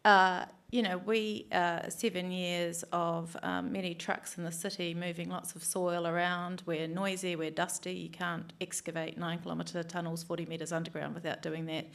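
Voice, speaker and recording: A woman's voice: on the low side, little pitch movement, moderate pace, creaky, hardly any pauses, fairly bright tone. Woman chairing a stage panel. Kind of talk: panel discussion. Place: stage in a theatre. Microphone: stand microphone.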